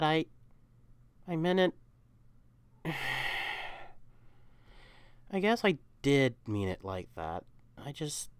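Woman voicing a low, cuddly catboy boyfriend. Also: darkish tone, dry recording, heavily acted. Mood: worried, tender. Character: affectionate, teasing young catboy boyfriend, cuddly and protective. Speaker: audio roleplay performer. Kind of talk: intimate ASMR boyfriend roleplay.

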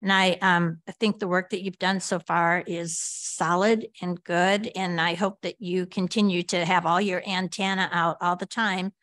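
An American woman speaking as a board director, giving measured remarks in a public meeting. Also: on the low side, fairly bright tone, no echo.

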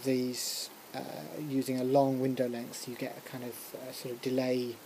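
A lecturer giving an informal software tutorial, talking through a demonstration hesitantly with muttered asides and interruptions to themselves. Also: mid-range voice, monotone, moderate pace. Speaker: music technology lecturer. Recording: clearly noisy recording with room ambience.

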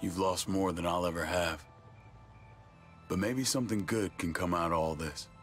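A man's voice: low voice, moderate pace, lightly acted. A man playing a blunt, intense, grieving older brother in a cinematic video game drama. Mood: weary, stern. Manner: blunt, foul-mouthed, intense. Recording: music underneath.

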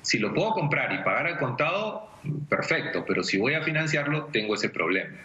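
Lively Peruvian man explaining over video call. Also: mid-range voice, fairly quick, slight background noise. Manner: didactic, illustrates with everyday examples. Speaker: personal finance expert on a TV segment. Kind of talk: conversational explanatory advice. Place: indoor room at home. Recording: dull, echoey video call.

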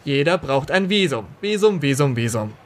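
High-voiced, courtly officer. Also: male voice, very animated, moderate pace. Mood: officious. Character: officious, courtly British immigration officer turned suitor. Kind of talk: comic radio play acting.

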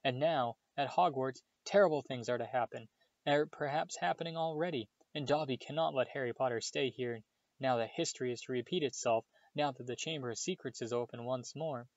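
A man's voice: brisk, no echo, close to an everyday voice. A man voicing a small, servile male house elf who pleads tearfully and calls everyone sir. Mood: anguished. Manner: squeaky, pleading, keeps saying sir. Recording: slightly dull dry recording.

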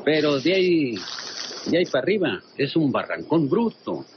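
Jolly country outlaw, folksy northern mexican drawl, all over the place, dull radio play audio. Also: male voice, fairly high pitch, big pitch swings, normal speed, background noise. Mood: explaining. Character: roguish, jolly one-handed rustic Mexican male bandit. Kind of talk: comic radio drama acting. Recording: muffled radio drama under loud ambience.

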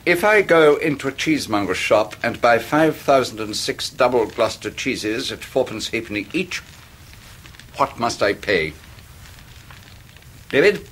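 A cruel Victorian stepfather in a radio drama. His English voice sits at a middling pitch, clipped and coldly commanding, with faint noise behind it. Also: lively intonation, a little slow, long pauses, a bit bright. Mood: cold menace. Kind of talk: 1990s radio drama acting.

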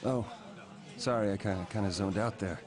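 A man's voice: fairly low pitch, moderate pace, a crowd in the background, lightly acted. A man voicing an anxious, evasive young boyfriend in a video game cutscene, hesitant, stammering and flustered. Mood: panicky, evasive. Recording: loud music under dialogue.

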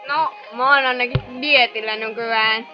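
A woman's voice: a little high, steady tone, soft background music. A woman improvising comic amateur dubbing for a cartoon. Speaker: amateur fandub voice actor. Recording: dull lo-fi home recording.

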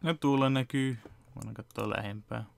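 A man's voice: medium pitch, vocal fry. A man in his thirties casually walking through his fan-dub editing project from home, rambling and chuckling. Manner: relaxed, rambling, chuckles often. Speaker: fan dub editor and vlogger. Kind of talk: casual behind-the-scenes walkthrough. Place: home room with computer setup.

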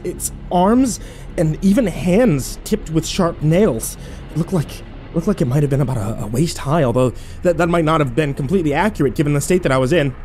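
A man playing an overwhelmed, foul-mouthed young man who narrates his ordeal haltingly in a horror audio drama. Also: on the high side, dark tone, natural voice. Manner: halting, self-interrupting, swearing, incredulous.

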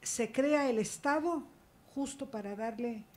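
A woman's voice: fairly high pitch, measured pace, a little mellow. A woman panelist speaking emphatically, checking in with rhetorical questions. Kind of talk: academic panel talk. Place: university auditorium panel.

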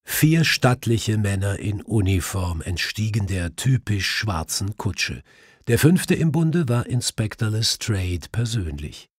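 A man's voice: fairly low pitch, very animated, slow, breathy edge, dry recording, studio quality. A man reading a literary detective story as an audiobook narrator.

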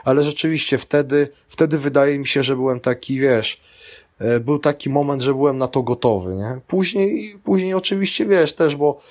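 A middle-aged man talks casually as an interview guest. His speech rambles through restarts and fillers at an ordinary middle pitch. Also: moderate pace, a little mellow. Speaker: guest, grappling athlete and coach.

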